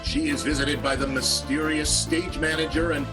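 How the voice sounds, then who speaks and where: A man's voice: on the high side, little pitch movement, moderate pace, music in the background. A man narrating an improvised radio serial in a theatrical, wry announcer's manner.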